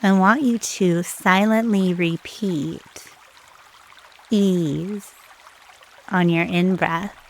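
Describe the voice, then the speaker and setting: A woman leading a guided meditation in a soothing, unhurried way, leaving long pauses between short phrases. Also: on the low side, steady tone, a little hiss or hum.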